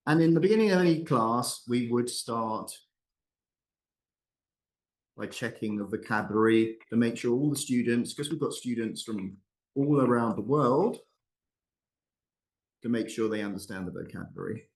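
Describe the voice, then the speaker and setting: A man's voice: mid-range voice, expressive, moderate pace, pauses often, rough recording. A man hosting an online class, handing over and narrating a video casually. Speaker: presenter and host.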